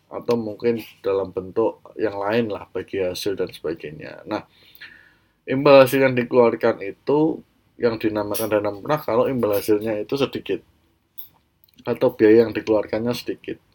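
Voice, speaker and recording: Male Indonesian educational presenter, lowish voice, mildly stuffed up with a cold, informal explanatory lecture delivery. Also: normal speed, a little mellow. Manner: explanatory, checks in with short tags. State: congested with a mild cold. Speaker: presenter of an educational banking channel.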